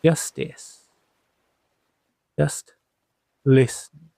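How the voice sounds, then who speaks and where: A man guiding a sleep hypnosis session as a hypnotherapist, soothing and gently reassuring, leaving long pauses between short phrases. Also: no echo. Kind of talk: guided sleep hypnosis narration.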